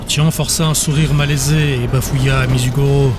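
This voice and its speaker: A man's voice: medium pitch, little pitch movement, fairly quick, over music. A man reading scripted dramatic narration as the narrator of an audiobook.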